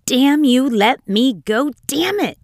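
Woman acting a shrill, furious foul-mouthed boy. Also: expressive, takes it slowly, creaky, no echo, clean studio sound, heavily acted. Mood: furious tantrum. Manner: shouted, bratty cursing. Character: foul-mouthed tantrum-throwing ten-year-old boy screaming at his mother.

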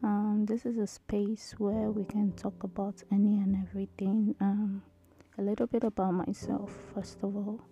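A woman in her thirties with a tiny, soft voice hosting her own podcast, talking hesitantly with many ums. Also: medium pitch, little pitch movement, normal speed. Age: 30s. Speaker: podcast host. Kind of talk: casual solo podcast intro.

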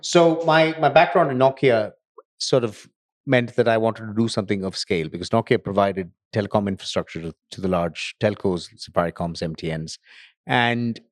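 Man, low voice, middle-aged, rambling casual talk with restarts, dry close sound. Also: moderate pace, dry recording. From grew up in Tanzania and Nigeria. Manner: rambling, with many restarts and fillers. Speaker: podcast guest, data center company founder.

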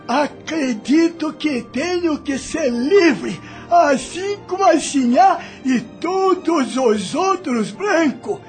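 A man's voice: extremely high pitch, full character voice. An actor playing a proud, defiant enslaved man in a radio drama, with impassioned, rustic Brazilian speech. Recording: music under the voice.

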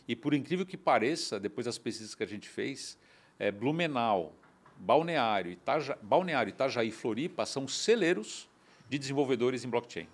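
A middle-aged man from São Paulo, telling his story as a guest in a casual conversation, animated and often restarting. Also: mid-range voice, moderate pace. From São Paulo, Brazil. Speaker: guest entrepreneur.

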